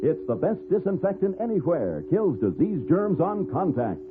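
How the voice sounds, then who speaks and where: Animated male radio announcer, tinny sound. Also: high-pitched, moderate pace, loud music behind the voice. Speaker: commercial announcer. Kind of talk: vintage radio commercial read.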